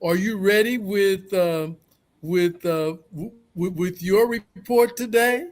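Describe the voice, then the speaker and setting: Halting male talk show host. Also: high voice. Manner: halting, repeats words and restarts. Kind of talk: radio talk show hosting.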